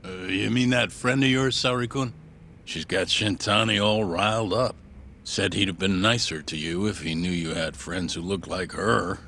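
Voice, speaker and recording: A man's voice: sits low, moderate pace, pushed and bright, slight background noise. A man voicing a blunt, teasing friend in a video game cutscene.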